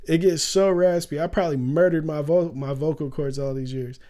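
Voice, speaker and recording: A young man talks casually on a stream, loose and full of slang, laughing easily. His voice sits a little high but sounds warm and mellow, with a faint rasp. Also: steady tone, a little fast. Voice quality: raspy at times. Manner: loose, slangy, laughs often, says "bro" a lot. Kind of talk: casual livestream commentary.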